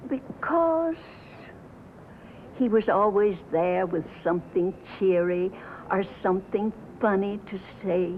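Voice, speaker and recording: Tinny, earnest older woman. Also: medium pitch. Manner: earnest, emphatic. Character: devoted, sentimental older female radio fan. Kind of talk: 1950s film acting, street interview. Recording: tinny, noisy 1950s film soundtrack.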